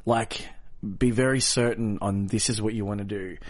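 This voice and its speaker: A man's voice: on the low side, steady tone, normal speed. A man with an Australian accent chatting casually as an interview guest, informal and self-deprecating, in a loose, rambling way. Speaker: interview guest, professional wrestler. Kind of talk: casual, joking interview chat.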